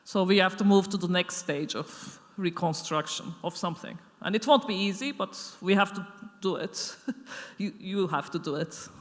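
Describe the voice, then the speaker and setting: A woman's voice: fairly low pitch, moderate pace. A middle-aged woman lecturer answering audience questions off the cuff, thoughtful, with many fillers and restarts. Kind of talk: unscripted Q&A answer. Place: lecture hall. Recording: echoey lecture hall.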